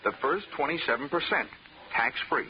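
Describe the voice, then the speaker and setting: An actor playing a wisecracking insurance investigator in a 1940s radio drama, narrating and talking with a dry, wry manner. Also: male voice, a little high, expressive, moderate pace, a little hiss or hum, natural voice. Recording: muffled old radio broadcast with music.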